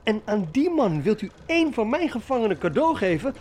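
A man's voice: sits high, sing-song, fairly quick, a little mellow, slight background noise. An actor playing a wary slave-ship trader in a historical radio play, formal and skeptical before his prince. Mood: indignant. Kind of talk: audio drama acting.

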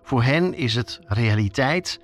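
A man's voice: mid-range voice, expressive, slow, soft background music. A man narrating a scripted documentary podcast as its reporter.

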